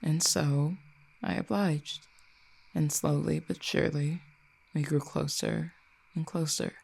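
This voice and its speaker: A performer voicing an ancient fallen cupid in a roleplay audio drama, poised and wry, speaking to the listener in formal, eloquent, measured phrases. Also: mid-range voice, fairly even intonation, creaky, a little mellow, slightly rough, heavily acted. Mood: tender, wistful.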